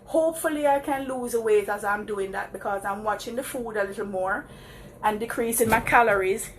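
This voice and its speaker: A woman's voice: mid-range voice, a little fast, slight background noise, low-quality recording. A Jamaican woman chatting casually to her live viewers while walking around the block, laughing often and calling them guys. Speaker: vlogger.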